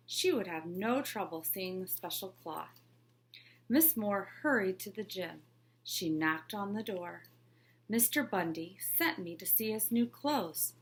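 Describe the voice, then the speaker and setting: A woman teacher reading a children's picture book aloud with a clear, expressive storybook delivery. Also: fairly low pitch, a little hiss or hum.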